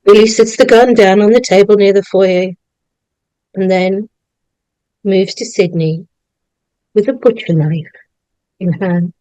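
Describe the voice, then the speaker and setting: A woman's voice: medium pitch, a little slow, long pauses, dark tone, no room sound, rough recording. A woman narrator reading stage directions aloud at a dramatic script table read.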